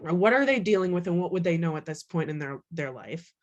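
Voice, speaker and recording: A woman's voice: lowish voice, fast talker, lo-fi sound. A woman author being interviewed, chatting casually with lots of "like" and acting out little quotes as she explains. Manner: chatty, animated self-quoting, peppered with "like".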